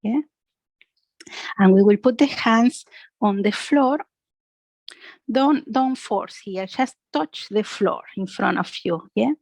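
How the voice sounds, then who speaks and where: A woman's voice: mid-range voice, takes it slowly, pauses often, no room sound, low-quality recording. Woman guiding a dry-sounding relaxation class. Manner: gentle, instructive, often adding a questioning "yeah?". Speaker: yoga and relaxation instructor. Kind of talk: guided relaxation class with breathing and imagery.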